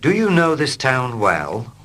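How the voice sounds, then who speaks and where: A man reading short English dialogues slowly and clearly for learners, line by line. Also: medium pitch. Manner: slow, clear and expressive. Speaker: English teacher. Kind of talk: slow scripted reading for learners.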